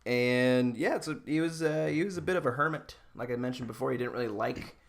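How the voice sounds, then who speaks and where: A man's voice: medium pitch, a little fast, vocal fry. Casual, joking young-sounding podcast guy. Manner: joking, informal, giggly. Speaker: podcast co-host presenting a rundown. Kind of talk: casual comedic presentation with banter.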